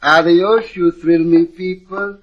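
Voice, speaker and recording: A male actor playing a flowery, eager Havana street hustler with a Spanish accent in an old radio drama, wheedling and flattering. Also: high-pitched, slow pace. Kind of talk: 1950s radio comedy-drama acting. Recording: dull old radio recording.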